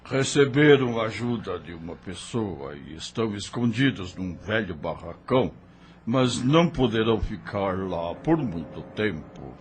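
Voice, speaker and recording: A man voicing a grave, kindly older helper who brings news and food in an audio drama, speaking slowly. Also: slight background noise. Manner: slow, grave, low-spoken. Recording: faint music underneath.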